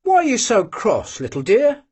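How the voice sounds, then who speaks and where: A man's voice: sits high, wildly swooping pitch, a little slow, breathy edge, pitched above the performer's own voice, heavily acted. A man doing a cheerful boastful young servant. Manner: good-natured, proud.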